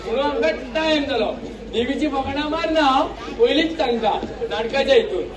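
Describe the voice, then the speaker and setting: A man with a Goan accent acting in an amateur stage play as an excitable, grumbling member of a drama group who repeats his phrases. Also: extremely high pitch, brisk, a crowd in the background. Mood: explaining. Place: stage in a large hall, distant microphone. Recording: echoey hall from far off, audience noise.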